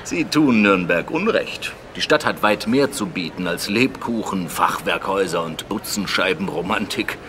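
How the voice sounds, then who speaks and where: Genial worldly american adventurer, radio drama, very lively delivery, busy ambience behind him. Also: male voice, low-pitched, normal speed, few pauses, noisy background. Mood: intriguing, genial. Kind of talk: German radio play acting.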